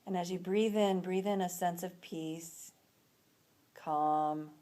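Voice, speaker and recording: Slow, soothing woman guiding relaxation. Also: fairly low pitch, fairly even intonation, mellow. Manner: soothing, unhurried with long pauses between phrases. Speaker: relaxation instructor. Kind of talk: guided relaxation meditation.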